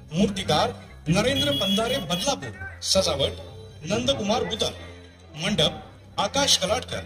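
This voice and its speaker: High-pitched man narrating over music. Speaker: narrator and announcer. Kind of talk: scripted dramatic festival show narration. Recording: clear music behind the voice.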